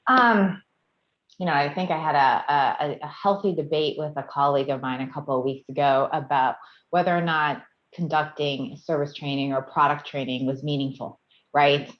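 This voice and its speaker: A woman's voice: sits low, steady tone, normal speed, dull audio. A woman on a discussion panel, thoughtful, who often repeats words as she restarts.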